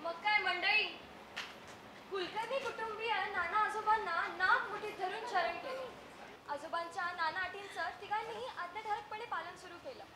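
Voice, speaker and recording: A woman's voice: extremely high pitch, normal speed, crowd noise. A woman playing a playful narrator in a stage comedy, addressing the audience in a chatty, declamatory way. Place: theatre stage in an auditorium. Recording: echoey noisy auditorium.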